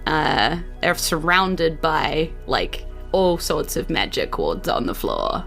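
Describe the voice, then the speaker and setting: A woman with a New Zealand accent hosting and narrating a tabletop game in an informal, animated storytelling manner. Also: low-pitched, takes it slowly, creaky, soft background music. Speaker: game master and host.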